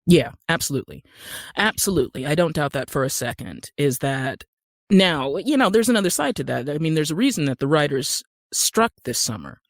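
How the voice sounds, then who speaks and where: Male podcast guest, higher register, emphatic and opinionated conversational delivery, dry recording. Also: big pitch swings, brisk, no echo.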